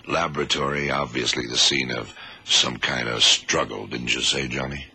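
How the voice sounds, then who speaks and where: An actor playing a cool, authoritative government agent in a radio drama, explaining things in a measured, commanding way. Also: male voice, rumbling low, steady tone, pushed and bright, breathy edge, full character voice. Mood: clinical, brisk. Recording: noisy old radio recording.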